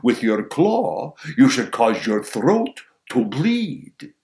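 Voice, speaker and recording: A man voicing a big, gloomy, insistent crow in a folk tale, needling loudly and emphatically with a Russian accent. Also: mid-range voice, sing-song, takes it slowly. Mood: ominous, insistent. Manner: loud, emphatic, needling questions. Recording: roomy, echoing space.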